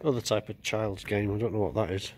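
A man giving casual walkaround commentary as a vlogger, halting and thinking aloud as he goes. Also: on the low side, fairly even intonation, darkish tone. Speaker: vlogger exploring an abandoned building. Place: outdoors, walking around a building. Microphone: handheld camera mic. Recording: outdoor ambient noise.

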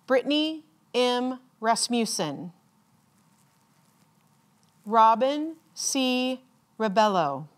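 Woman, higher-pitched voice, ceremony announcer, formal name reading, deliberate enunciation. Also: animated. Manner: measured, deliberate enunciation with pauses. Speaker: commencement announcer reading graduates' names.

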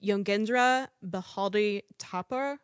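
A woman's voice: medium pitch, slow pace, smooth, no echo, clean studio sound. A woman hosting a podcast, reading a prepared true crime script and apologizing for how she says foreign names. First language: English. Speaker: podcast host.

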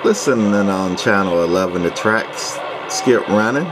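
A man's voice: on the low side, measured pace, over music, poor audio. A man narrating short plain remarks while showing a vintage radio. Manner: brief, plain spoken captions. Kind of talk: narrating a product video.

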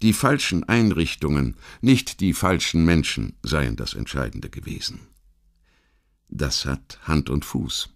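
A man reading a scripted history documentary as an audiobook narrator, in a measured, formal, essayistic way. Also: very deep voice, lively intonation, vocal fry.

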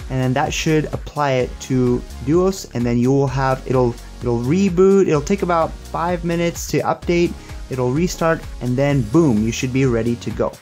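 A man's voice: mid-range voice, moderate pace, a little mellow, faint music behind. A young man giving a casual, upbeat hands-on tech demo and tutorial in a conversational, explanatory way. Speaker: tech reviewer and presenter.

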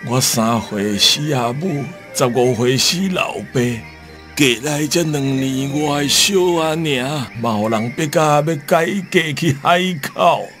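A man's voice: medium pitch, measured pace, a bit bright, soft background music, lightly acted. An actor playing a sorrowful elderly blinded war veteran in a radio drama, folksy and sighing as he reminisces. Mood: lamenting. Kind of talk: radio drama acting in Taiwanese Hokkien.

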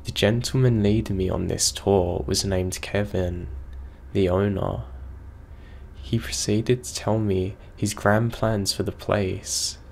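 A man's voice: lowish voice, creaky, slight background noise. A man reading a first-person horror story aloud, sounding unwell, with his voice a bit off. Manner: slow, steady storytelling delivery. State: unwell, voice affected.

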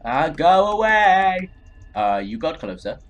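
A man's voice: fairly high pitch, sing-song, takes it slowly, faint music behind. A young man giving dramatic, complaining, exclaiming commentary while playing a horror game. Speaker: video game player and host. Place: indoor room at a computer, on webcam.

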